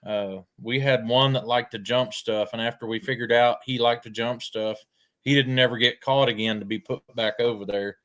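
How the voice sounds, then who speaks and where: An older man, telling farm stories in a folksy, unhurried way with frequent pauses. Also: mid-range voice, fairly even intonation, fairly bright tone. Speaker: storyteller guest. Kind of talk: casual storytelling.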